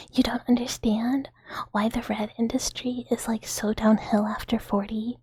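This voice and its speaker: A woman speaks in a very breathy, airy whisper, pitched on the high side. She chats like an ASMR streamer, rambling playfully to her viewers. The sound is close and dry. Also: flat delivery, slow pace, mellow, dry recording. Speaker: livestreamer hosting a chat stream.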